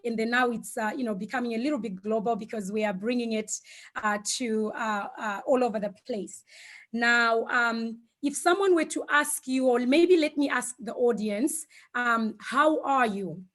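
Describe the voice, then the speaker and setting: A woman whose first language is Shona, giving an online talk over a video call, with frequent fillers and gentle hesitations. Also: a little high, moderate pace. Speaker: guest speaker.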